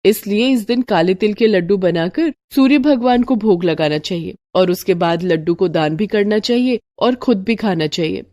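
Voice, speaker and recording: A woman voicing a gentle, devout, humble young mother in a cartoon moral story, speaking softly and patiently. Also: medium pitch, expressive, normal speed, few pauses, dry recording, natural voice. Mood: gentle, earnest.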